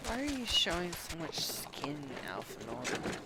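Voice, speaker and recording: A woman's voice: lowish voice, sing-song, measured pace, very noisy. A woman doing casual live game commentary in offhand, muttered asides. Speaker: streamer playing a game.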